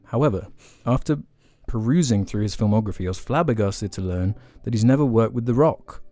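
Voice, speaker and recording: A man's voice: lowish voice, lively intonation, a little fast, warm tone, soft background music. A young man co-hosting a podcast, chatting casually and reading listener questions aloud. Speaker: podcast co-host.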